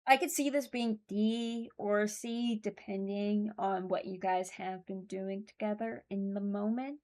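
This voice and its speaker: A woman's voice: medium pitch, steady tone, measured pace, a little mellow. A young woman hosting a video with casual, informal commentary on game voice lines, rambling and often interrupting herself. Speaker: streamer and video host.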